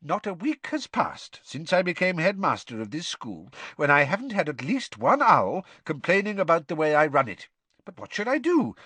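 A man voicing a wise, gently amused old male headmaster with dry wit, speaking in a measured way. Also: a little high, huge pitch swings, slightly rough, no echo, pitched above the performer's own voice, exaggerated voice. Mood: wry, kindly.

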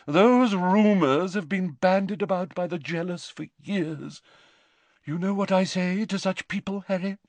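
A man voicing a haughty older female divination teacher in a storybook, with theatrical, drawn-out words and sniffy, mystical self-importance. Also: high-pitched, darkish tone, no echo, voice pushed up. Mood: indignant, slightly hysterical.

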